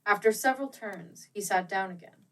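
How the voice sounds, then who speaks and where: A woman narrating a classic ghost story as an audiobook, with theatrical, expressive and deliberate phrasing. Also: mid-range voice, distant mic, low-quality recording. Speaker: audiobook reader.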